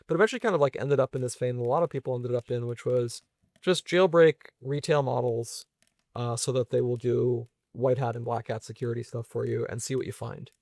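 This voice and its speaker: Fast-talking man with a creaky edge. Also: mid-range voice, darkish tone, no room sound. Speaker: guest, venture investor and software engineer. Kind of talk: casual technical conversation.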